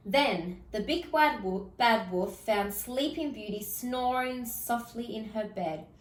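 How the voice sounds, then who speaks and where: A woman reading a children's fairy tale aloud in an expressive storytelling style. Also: on the high side, slow, reverberant, distant mic, rough recording. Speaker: storybook reader.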